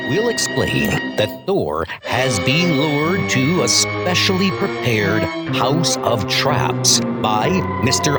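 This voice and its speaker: A man giving a grandiose, theatrical scripted narration of a comic book story. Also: medium pitch. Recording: loud music under the voice.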